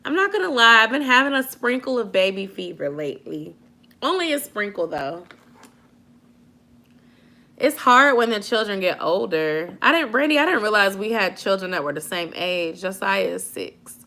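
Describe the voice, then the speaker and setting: A woman's voice: medium pitch, normal speed. A woman in her late twenties chatting casually with viewers from home, answering comments and laughing a lot. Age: 20s. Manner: animated, laughing often, slangy. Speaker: vlogger chatting with live viewers. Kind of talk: casual live chat, reading comments.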